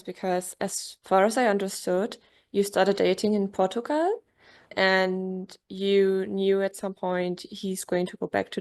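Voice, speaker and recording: A woman hosting a podcast, giving the introduction and asking long, carefully framed questions in a friendly, clear way. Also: medium pitch. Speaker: podcast host.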